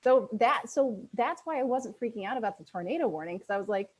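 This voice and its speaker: A woman's voice: a little high, animated, quick pace. A woman telling an anecdote in casual chat, blunt and wry with a salty tongue. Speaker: wedding vendor.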